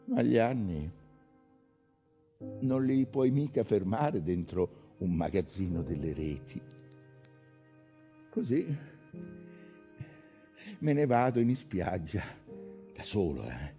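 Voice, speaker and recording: An actor playing a timid, sorrowful sailor in a hushed, halting, confiding theatrical monologue. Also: male voice, fairly low pitch, very animated, heavily acted. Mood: wistful fear. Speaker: stage actor. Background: music.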